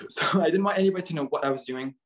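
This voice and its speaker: A man's voice: fairly high pitch, fast, fairly bright tone. Chatty young Canadian-raised man. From Bulgaria, raised in Canada. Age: young adult. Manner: chatty, many fillers like "like" and "um". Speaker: interview guest, model and dancer. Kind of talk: casual chat.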